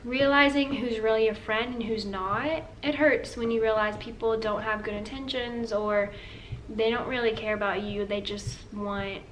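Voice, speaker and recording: Young woman co-host, earnest chatter, high-ish voice, faint background hiss. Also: fairly even intonation, moderate pace. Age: young adult. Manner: chatty, earnest, says "like" often. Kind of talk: casual couple Q&A chat. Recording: slightly distant with faint room noise.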